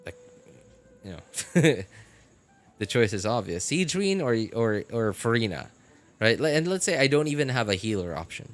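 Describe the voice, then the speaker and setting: A man talking casually on a game live stream, rambling with many fillers and getting animated about his opinions. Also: medium pitch, normal speed, long pauses. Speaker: gaming streamer.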